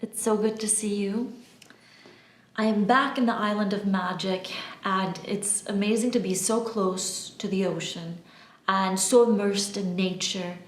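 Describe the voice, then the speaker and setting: A woman's voice: medium pitch, fairly even intonation. Woman speaking emphatically in an echoey room. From Albania. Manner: emphatic, deliberate, with pauses and repeated words. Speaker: spiritual channeler and video host. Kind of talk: spiritual monologue to camera. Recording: roomy, echoing room sound.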